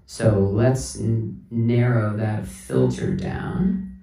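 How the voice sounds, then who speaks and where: Male instructor, creaky voice, casual tutorial, unhurried, step-by-step, echoey room, distant mic. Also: lowish voice, sing-song, warm tone. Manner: unhurried, talks through each step while working. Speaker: instructor, sound engineer. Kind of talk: casual hands-on tutorial talk. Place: indoor room with sound system. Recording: roomy, distant mic, PA sound.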